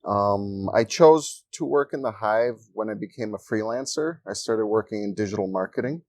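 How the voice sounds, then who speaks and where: A man from Ecuador speaking as a guest in a casual interview in a coworking space, relaxed and joking as he tells stories. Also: on the low side, normal speed, no echo. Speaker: guest, freelancer.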